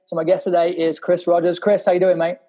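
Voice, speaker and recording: A man's voice: high-pitched, completely flat delivery, fast talker, warm tone. A man hosting a casual podcast interview, chatty and often repeating words as he thinks. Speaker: podcast host.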